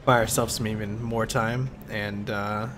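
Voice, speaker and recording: Congested man with a cold, relaxed gameplay commentary, faint game music under him. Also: lowish voice, unhurried. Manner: relaxed, thinking aloud with fillers. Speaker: let's play host.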